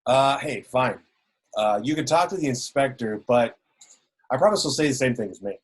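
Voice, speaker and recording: A man's voice: medium pitch, expressive, moderate pace, slightly rough. A man reading a jovial, dodgy sergeant. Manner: hesitant, lots of ums and restarts. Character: jovial, evasive male police sergeant.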